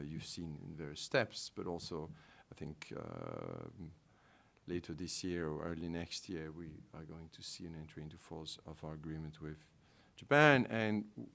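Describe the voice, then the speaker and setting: Man, Belgian French accent, very low voice, halting, lots of ums, panel speaker on trade. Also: gravelly, a bit bright. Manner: halting, many ums and restarts, wry. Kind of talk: panel remarks on trade policy.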